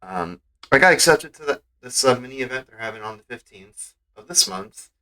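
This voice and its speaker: A man's voice: medium pitch, expressive, brisk, pushed and bright, low-quality recording. A man chatting casually and a little hesitantly as he streams a game, in an informal, rambling way. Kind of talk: casual livestream gaming chat.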